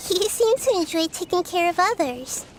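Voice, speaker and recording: A woman voicing a small, girlish nature deity in a video game, wise and gentle, with a calm explanatory manner. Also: very high-pitched, moderate pace, a little hiss or hum. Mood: playful.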